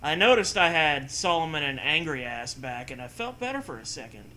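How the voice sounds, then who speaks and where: A sarcastic man with a fairly high, very bright, forceful voice reads a horror story aloud. He switches between mock-serious narration and casual, foul-mouthed riffing. There is some background noise on the recording. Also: expressive, fairly quick. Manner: sarcastic, profane.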